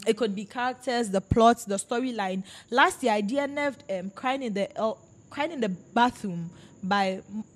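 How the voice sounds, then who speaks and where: A woman's voice: a little high, normal speed. Emphatic woman from Ghana hosting a book chat. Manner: animated, emphatic, talks over others. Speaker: podcast host.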